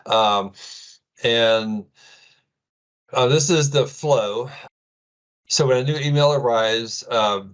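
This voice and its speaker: A middle-aged man with an American accent giving an informal tech presentation in a casual, halting way full of ums. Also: medium pitch, fairly bright tone, highs cut off. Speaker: presenter, IT administrator.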